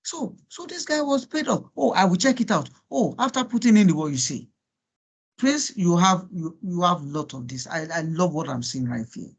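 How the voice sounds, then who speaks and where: A man with a high voice and a Nigerian accent, a native Yoruba speaker. He coaches in an informal, encouraging way, often repeating himself and putting rhetorical questions to his listeners. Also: lively intonation, moderate pace, husky. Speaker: guest speaker coaching students on LinkedIn profiles. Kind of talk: informal online workshop coaching.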